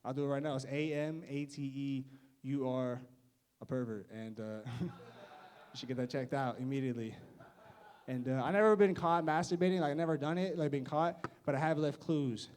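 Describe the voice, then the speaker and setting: A man's voice: medium pitch, moderate pace, a little mellow. A young man doing a stand-up set, awkward and self-mocking, laughing at his own jokes. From United States. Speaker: stand-up comedian.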